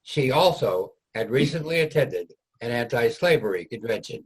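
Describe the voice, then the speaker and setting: A man's voice: medium pitch, lively intonation, moderate pace, slightly rough, poor audio. A man narrating a scripted history for a reader's theater production.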